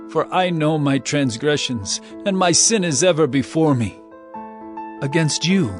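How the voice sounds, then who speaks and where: Higher-voiced man pleading in prayer. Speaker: scripture narrator. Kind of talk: dramatized scripted reading of a psalm. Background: instrumental music.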